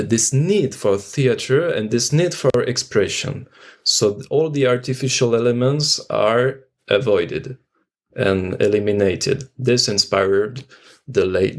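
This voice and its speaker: A man's voice: on the low side, gravelly. A man with a French accent, an actor being interviewed, speaking reflectively and searching for his words as he goes. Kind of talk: unscripted reflective discussion.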